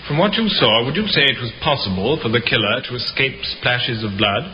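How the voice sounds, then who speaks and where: An actor playing an insistent, methodical defence barrister with formal speech in a 1950s radio drama, on a dull, noisy recording. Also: male voice, medium pitch, expressive, fairly quick, bright, forceful tone. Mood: probing, insistent.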